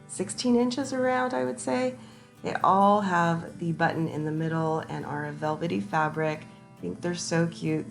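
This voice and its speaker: A woman narrating a casual shopping vlog, hoarse and raspy from a sinus infection, chatty and enthusiastic as she talks to her viewers. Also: on the low side. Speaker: shopping vlogger. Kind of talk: casual shop-with-me voice-over.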